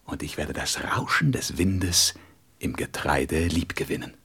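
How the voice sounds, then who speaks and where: An actor playing a gentle, wise and wistful fox in a radio play, speaking tenderly and deliberately and often dropping into whispers. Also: male voice, very deep voice, full character voice. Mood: wistful longing. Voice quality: husky, breathy voice that drops into whispers. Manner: deliberate, expressive, tender storybook delivery.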